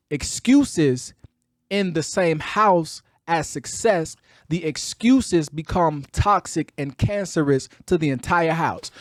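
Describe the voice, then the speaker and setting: High-voiced Chicago man, emphatic motivational preaching. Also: no echo, studio quality. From Chicago, United States. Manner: emphatic, repetitive, colloquial, drawing out key words. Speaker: podcast host, motivational speaker. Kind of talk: motivational talk with preacher-like delivery.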